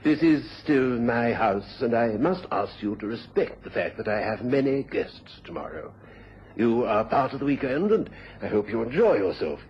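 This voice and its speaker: An actor playing a genteel but stern country squire in a radio drama, courteous and hesitant, with polite hems. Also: male voice, medium pitch, sing-song, moderate pace, close to an everyday voice. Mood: stern, uneasy. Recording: dull, noisy old radio recording.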